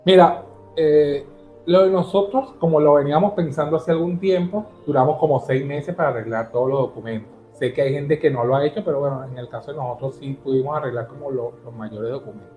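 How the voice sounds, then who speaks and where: A man from Caracas with a Venezuelan accent, telling his story as an interview guest in an earnest, halting way with many restarts. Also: a little high, reverberant, phone-quality sound, faint music behind. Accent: Venezuelan Spanish.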